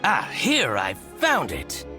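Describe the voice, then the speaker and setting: Casting: a theatrical, grudge-holding shopkeeper in a game; hugely animated intonation, unhurried pace. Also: male voice, mid-range voice. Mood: gleeful, scrutinizing. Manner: theatrical, exclaiming and grumbling. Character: scheming, excitable male shopkeeper, resentful of a business rival. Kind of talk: video game character acting. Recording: background music under dialogue.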